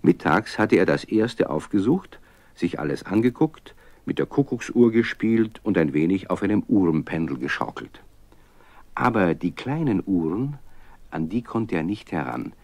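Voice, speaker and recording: Narrator for children's audio drama: low-voiced man, expressive storytelling, dry studio sound. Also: moderate pace, no echo. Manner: storyteller addressing young listeners with teasing questions.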